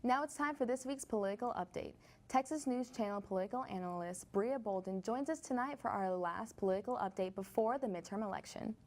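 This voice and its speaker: A woman's voice: medium pitch, a little fast, hardly any pauses, a little mellow. Upbeat woman news anchor. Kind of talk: scripted anchor links.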